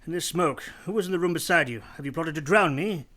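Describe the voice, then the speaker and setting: An actor playing a brusque, teasing, moody gentleman master of the house in a radio play, imperious and halting. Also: fairly high pitch. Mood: startled, gruff. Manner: imperious, abrupt, probing, halting delivery.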